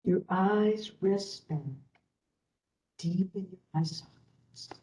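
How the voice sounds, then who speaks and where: A woman instructor leading a guided meditation in a soothing way, with long pauses between short phrases. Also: medium pitch, fairly even intonation, dark tone. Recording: roomy echo, distant from the microphone.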